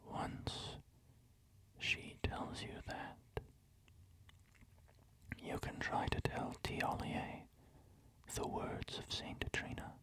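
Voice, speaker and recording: A man narrating game lore in a soft, unhurried whisper. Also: low-quality recording. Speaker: storyteller.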